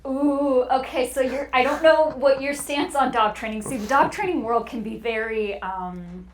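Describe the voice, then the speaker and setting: Woman, higher register, expressive guest in a relaxed, informal group conversation. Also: normal speed, a little hiss or hum. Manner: animated, expressive. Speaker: voice actor guest. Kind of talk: casual panel chat.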